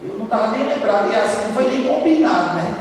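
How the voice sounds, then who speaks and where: A high-voiced man with a hoarse throat preaches to a congregation, loud and chatty, checking in with the room as he goes. He sounds far from the mic, and his voice rings through a big reverberant church hall. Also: lively intonation, fast, crowd noise. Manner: loud, conversational preaching with frequent tag questions. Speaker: lay preacher. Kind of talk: sermon. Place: church sanctuary. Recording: distant, echoey church hall.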